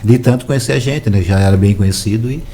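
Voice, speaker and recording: A man, a relaxed, soft-spoken storyteller reminiscing in a casual interview. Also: on the low side, quick pace, slight background noise. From São Paulo, Brazil. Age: 60s. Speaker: interview guest, former footballer.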